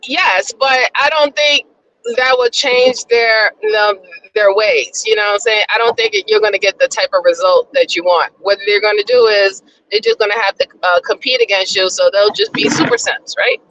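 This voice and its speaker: A woman in casual chat on a live stream, explaining her view in a measured way with lots of "you know". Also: medium pitch, a bit bright, a little hiss or hum, rough recording.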